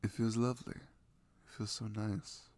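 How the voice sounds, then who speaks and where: A man's voice: on the low side, little pitch movement, measured pace, darkish tone, dry recording, close to an everyday voice. A man playing a sweet, affectionate, nerdy young boyfriend in an ASMR roleplay, chatting tenderly in a casual, rambling way. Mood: tender, grateful. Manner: tender, rambling, giggly, gushing about games.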